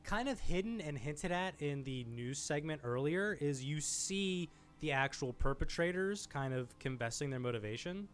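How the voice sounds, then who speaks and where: A man's voice: a little high, expressive, moderate pace, few pauses. A man hosting a video essay, giving informal, enthusiastic film commentary in a conversational style. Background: background music.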